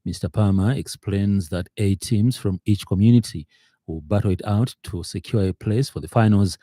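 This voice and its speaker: A male newsreader reads the bulletin in a steady, formal manner from a radio studio. His voice sits low and very dark. The studio microphone gives a close, dry sound. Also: dry recording. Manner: measured, formal delivery. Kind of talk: radio news bulletin reading.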